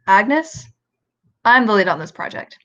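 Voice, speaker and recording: A woman's voice: medium pitch, sing-song, unhurried, sharp tone. Dull-sounding woman playing a driven female scientist. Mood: defensive. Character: driven, defensive lead woman scientist with a child. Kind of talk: amateur radio play acting.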